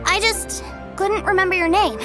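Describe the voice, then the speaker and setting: A woman's voice: very high voice, normal speed. A woman voicing a cheerful, innocent little boy with an earnest, childlike manner in a video game. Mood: innocent, matter-of-fact. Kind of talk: English game dub acting. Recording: background music under the voice.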